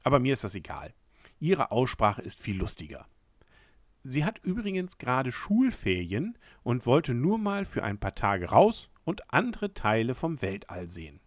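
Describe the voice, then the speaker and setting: A man's voice: medium pitch, huge pitch swings, moderate pace, no echo. A man reading a children's story aloud as a friendly letter, addressing the listener directly. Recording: dry close recording.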